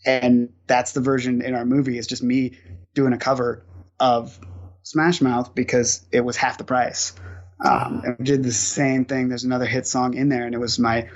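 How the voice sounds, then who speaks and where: A gravelly-voiced man talks through how the business works, handing out practical tips in a relaxed interview. His pitch barely moves, keeping a level, even delivery. The recording sounds a little dull and muffled. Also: moderate pace. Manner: explains industry practice step by step, giving practical tips. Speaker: guest filmmaker; musician. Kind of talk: casual interview talk.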